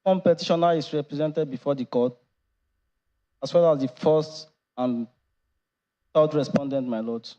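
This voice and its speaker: A male court registrar with a Nigerian accent reads aloud formally. His voice is fairly high yet mellow and dark, and the courtroom recording is somewhat dull. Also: normal speed, long pauses. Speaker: court registrar calling cases. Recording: dull, muffled courtroom audio.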